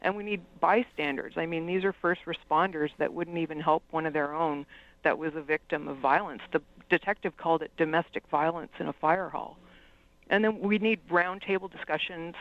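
A woman's voice: deep voice, dull audio. A woman firefighter who is a guest on a radio interview, speaking earnestly and laying out her demands point by point. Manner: earnest, pleading, lists demands.

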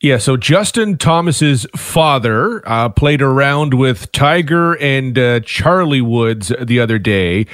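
A male sports reporter on a radio show who lays out the story step by step in a calm, even way. His voice sits at a middle pitch. The studio sound is dry, with no room echo. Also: slow pace, hardly any pauses.

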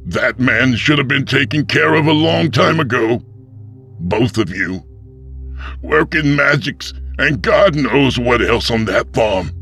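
A grim, righteous pastor of a frontier town, acted out by a male narrator, pitched well above a plain reading voice. He preaches with bitter, spiteful conviction in a dramatic audiobook, over faint music. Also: lively intonation, takes it slowly, gravelly, sharp tone, breathy edge, full character voice. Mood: righteous, scornful.

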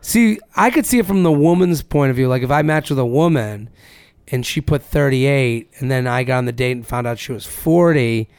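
A man's voice: medium pitch, expressive, normal speed, a little mellow, clean tone, no echo. A man co-hosting a podcast, chatting casually, reading listener emails aloud and swearing freely. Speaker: podcast co-host. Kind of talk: casual chat.

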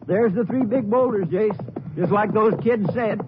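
An actor playing a folksy, plainspoken Texas sheriff in a 1950s radio western drama. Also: male voice, sits high, measured pace, music in the background. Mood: uneasy. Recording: tinny, noisy 1950s radio recording.

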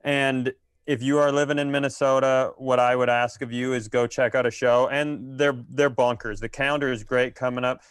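A man's voice: medium pitch, little pitch movement, normal speed, clear, resonant tone, no room sound, studio quality. A man with an American accent co-hosting a comedy podcast in casual, wry, joking conversation. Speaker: podcast co-host.

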